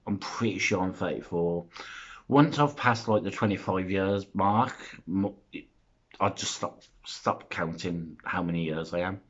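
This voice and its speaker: A man's voice: sits low, measured pace, husky, dull audio. A man in his thirties talking to his viewers in a rambling, self-deprecating, chatty way, with lots of fillers. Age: 30s. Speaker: YouTuber. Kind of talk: casual vlog chat.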